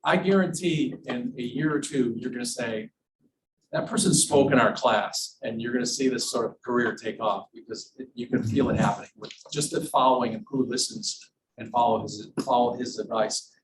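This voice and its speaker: A man hosts an interview in front of his class, chatty and enthusiastic. His voice is picked up from a distance in the room. A clear layer of room noise runs beneath him. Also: medium pitch, normal speed. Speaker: professor hosting the class. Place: university classroom.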